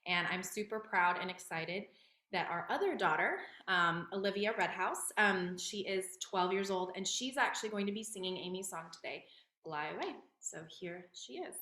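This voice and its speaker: Middle aged lady hosting a livestream from home, bright voice, kinda echoey, chatty and informal. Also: medium pitch, expressive, normal speed. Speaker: event host. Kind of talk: casual, informal event hosting. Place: indoors at home, virtual event.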